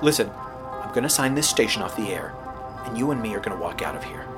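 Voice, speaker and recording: A man's voice: on the low side, animated, fast talker, over music, natural voice. A man playing an earnest, stubborn young rookie radio DJ in an audio horror drama, sometimes hesitant and pleading. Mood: earnest, determined. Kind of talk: dramatic audio horror acting, naturalistic dialogue.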